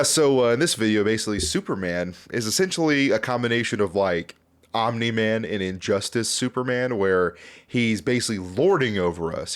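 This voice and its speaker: A man with a fairly low voice hosts a video with loose, unscripted commentary. He talks informally and chattily, wandering from one thought to the next like someone speaking casually to viewers. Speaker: YouTube host reviewing fan videos.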